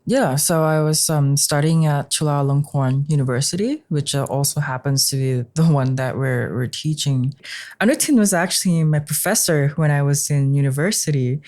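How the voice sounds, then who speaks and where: A woman's voice: deep voice, normal speed, a little mellow. Chatty young woman from Singapore. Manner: chatty, laughs often. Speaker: senior type designer, guest. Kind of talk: casual podcast conversation.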